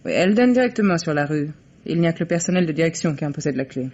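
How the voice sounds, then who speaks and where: Poised female private secretary: crisp, guarded, formal, old radio drama on muffled tape. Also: low-pitched, animated, rapid-fire, darkish tone. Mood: composed, curt. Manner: crisp, curt, formal replies. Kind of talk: vintage French radio mystery acting. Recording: dull, vintage radio recording.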